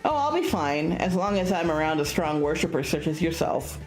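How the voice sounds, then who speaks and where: A woman's voice: low voice, big pitch swings, moderate pace. A woman voicing a loyal, proud male tiger guardian spirit in a visual novel, earnest and firm. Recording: roomy echo with music behind.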